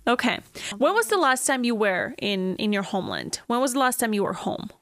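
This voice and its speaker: A woman hosts a podcast interview in a slightly high voice. She asks questions and keeps her guest going with steady murmurs of agreement. The recording is dry and close. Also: fairly quick, dry recording. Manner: encouraging, frequent backchannel murmurs. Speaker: podcast host.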